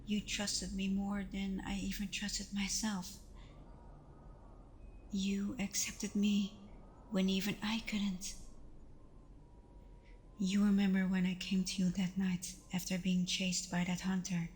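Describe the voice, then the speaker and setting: A woman playing a tender, playful vampire girlfriend in an intimate ASMR roleplay, speaking softly and often slipping into whispers with hesitant pauses. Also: mid-range voice, monotone, slight background noise, natural voice. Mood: tender, earnest. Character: tender female vampire girlfriend, reassuring, playful, a little vulnerable.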